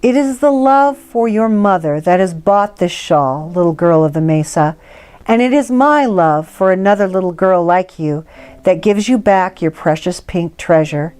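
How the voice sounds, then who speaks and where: A woman's voice: lowish voice, expressive, a little slow, darkish tone, smooth. A woman voicing a kindly, tender male trading-post keeper in a storybook read-aloud. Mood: loving.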